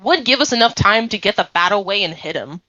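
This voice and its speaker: A woman's voice: mid-range voice, animated, fast, bright, forceful tone, dry recording, muffled recording. A woman giving her reasoning in a casual discussion among friends. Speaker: panelist in a group discussion.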